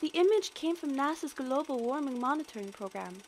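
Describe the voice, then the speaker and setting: A woman's voice: high voice, expressive, a little fast, a little hiss or hum. A woman playing an earnest, eager young military analyst in an audio drama, precise and deferential. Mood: earnest briefing. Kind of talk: scripted audio drama acting.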